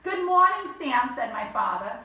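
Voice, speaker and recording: Bright-voiced woman reading a bedtime story. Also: sits high, very animated, normal speed. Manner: chatty, comments between lines, acts out character lines. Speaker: storyteller host. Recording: echoey room, mic at a distance.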